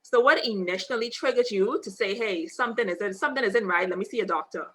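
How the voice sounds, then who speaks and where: A young woman hosts a video-call chat from an armchair at home, her voice crisp and bright. She sounds upbeat and conversational, picked up by a webcam mic. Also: medium pitch, fast talker. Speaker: host. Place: indoors at home, seated in armchair.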